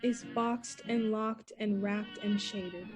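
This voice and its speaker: A woman playing a headstrong, unafraid young woman, brisk and self-assured, in an old-time radio play. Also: mid-range voice, fairly even intonation, faint music behind, lightly acted. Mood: pensive. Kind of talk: 1940s radio play acting.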